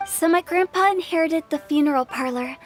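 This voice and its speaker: A woman's voice: very high voice, fairly quick. A woman voicing a mischievous, theatrical young funeral director in a video game, teasing and wordy as she talks. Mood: storytelling. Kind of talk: video game character dialogue. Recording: game music behind.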